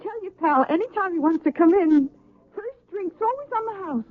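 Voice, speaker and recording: A forward chatty bartender gal in an old radio play, high pitched, curious, phone-thin recording. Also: female voice, animated, normal speed. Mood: nosy, wistful. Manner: pert, inquisitive. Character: breezy, forward female bartender fishing for gossip. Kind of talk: 1970s radio drama acting. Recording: tinny old radio recording with faint music.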